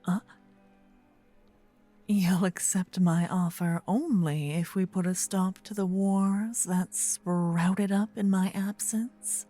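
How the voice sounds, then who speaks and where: Woman playing a demon queen spirit, dark mellow voice, low, regal, teasing, coaxing, fantasy ASMR roleplay. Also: fairly even intonation, faint music behind. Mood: teasing, incredulous. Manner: breathy, slow, teasing, regal.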